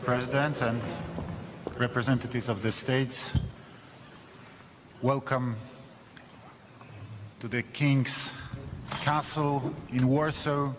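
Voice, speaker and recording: Polish-accented man, host opening a summit, very slow and deliberate, echoing palace hall. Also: mid-range voice, level tone. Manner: slow, careful, halting English. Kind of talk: formal welcome address. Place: large ornate palace hall. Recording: long hall reverb with faint noise.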